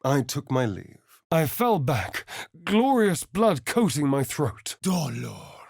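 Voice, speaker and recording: Sly vampire rogue, English accent, vain, theatrical, arch, unhurried, very animated pitch, video game character. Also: male voice, vocal fry, husky, heavily acted. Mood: dramatic, then incanting.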